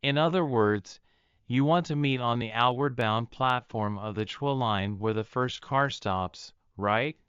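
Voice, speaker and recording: A male actor plays a mild, earnest writer in an audio drama, with an exceptionally clean, smooth voice at middle pitch. He sounds polite and considerate, recorded dry and a little dull. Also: unhurried, a bit bright, dry recording, lightly acted.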